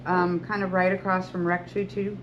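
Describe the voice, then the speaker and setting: A woman's voice: lowish voice, level tone, normal speed. A woman in her sixties, a hairstylist and salon owner, talking earnestly to beauty students in an encouraging, rambling way with many restarts. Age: 60s. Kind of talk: talk to beauty school students. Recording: lo-fi with loud background noise.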